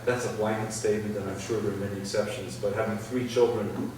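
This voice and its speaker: A middle-aged man answering audience questions at a public talk, thoughtful and halting, with many restarts. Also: lowish voice, level tone. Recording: echoey hall, far from the mic, faint noise.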